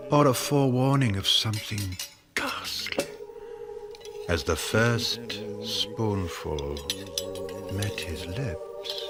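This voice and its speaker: Low, slow, theatrical male storyteller over music. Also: sing-song. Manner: theatrical, suspenseful, sometimes hushed, sometimes booming. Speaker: narrator. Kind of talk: dramatic scripted radio narration.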